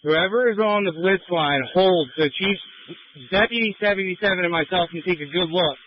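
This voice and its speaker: A man's high-pitched voice comes over a slightly muffled, lo-fi radio. He is a fire chief, issuing direct, clipped commands in emergency traffic. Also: normal speed, no room sound. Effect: two-way radio. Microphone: radio handset.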